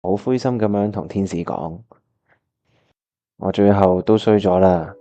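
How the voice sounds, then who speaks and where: A man's voice: low-pitched, a little slow, mellow, highs cut off. A man with a Hong Kong Cantonese accent playing a disheartened, weary young man in a radio drama, with a sighing, downcast delivery. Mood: dejected.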